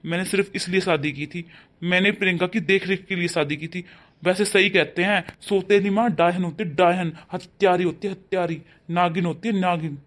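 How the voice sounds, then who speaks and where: A man playing a stern, high-voiced father. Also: steady tone, moderate pace. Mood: angry. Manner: scolding loudly, then softening to coax. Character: stern father defending his daughter. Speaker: actor in a family drama. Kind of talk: amateur family drama acting.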